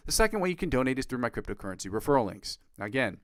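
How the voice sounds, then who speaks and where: Fast-talking congested man live streaming. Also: sing-song, fairly bright tone, no echo, studio quality. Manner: rambling, filler-heavy, upbeat pitch to viewers. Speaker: guitar livestreamer. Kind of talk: casual live stream chat.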